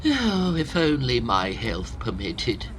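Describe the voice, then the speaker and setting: A man's voice: medium pitch, huge pitch swings, slow, a bit bright, slight background noise, exaggerated voice. A man acting a fussy, self-pitying older hypochondriac professor in a comic period farce, lamenting in florid theatrical style.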